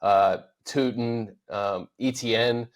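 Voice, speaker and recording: A man's voice: mid-range voice, steady tone, takes it slowly. Easygoing man hosting a sports podcast. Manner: easygoing, agreeable, wraps up the show. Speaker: podcast host. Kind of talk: casual sports talk podcast.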